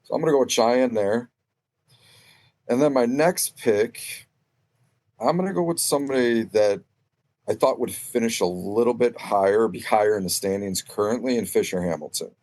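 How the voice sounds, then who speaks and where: A man's voice: medium pitch, moderate pace, a little mellow. A man giving casual sports analysis as a guest on a podcast.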